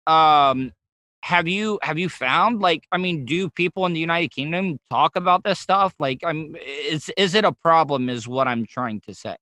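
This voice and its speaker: Bright-voiced Southern American man. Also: fairly high pitch, normal speed, dry recording. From Southern United States. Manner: chatty, self-deprecating, stumbling restarts. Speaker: podcast host. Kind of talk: casual interview chat.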